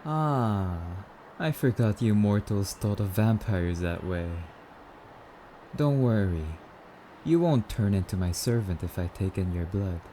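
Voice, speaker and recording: Man playing a slow, low-voiced courtly vampire. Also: expressive, mellow, slight background noise. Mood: coaxing, impatient. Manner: slightly archaic, musing, haughty yet polite. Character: courtly, wistful male vampire, hungry and teasing. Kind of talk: ASMR roleplay monologue addressed to listener.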